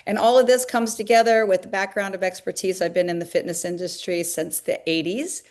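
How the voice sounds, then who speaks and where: A woman's voice: medium pitch, fairly even intonation, fairly quick, a little mellow. A woman in her fifties, a fitness company founder, talking upbeat and at length in a webinar interview over a video call, with frequent fillers.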